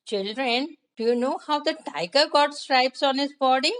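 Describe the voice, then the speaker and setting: A woman with an Indian accent telling a story to young children, with deliberate phrasing and questions to the listeners. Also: high voice, a bit bright.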